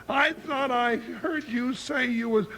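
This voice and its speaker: An actor playing a folksy, excitable, comic deputy sidekick with a rural Western American twang in a 1950s radio western, blustering and stammering when flustered. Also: male voice, very high-pitched, slow pace, gravelly, full character voice. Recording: old hissy 1950s radio recording.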